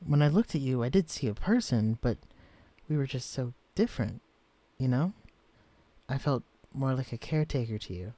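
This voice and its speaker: A man voicing a gentle, shy young man who has been shrunk to tiny size, speaking softly and stammering when flustered. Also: mid-range voice, animated, moderate pace, no room sound. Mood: tender. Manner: soft-spoken, hesitant, stammering when flustered. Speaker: character in a listener-directed romance audio. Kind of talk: audio roleplay drama.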